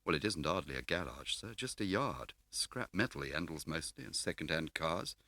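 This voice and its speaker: A man puts on a chatty police constable for an audiobook. The delivery is colloquial and knowing, with lively rises and falls and a bright tone. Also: rumbling low, normal speed, no echo, full character voice. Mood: helpful, knowing. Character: chatty, matter-of-fact male police constable. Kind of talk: audiobook character voice.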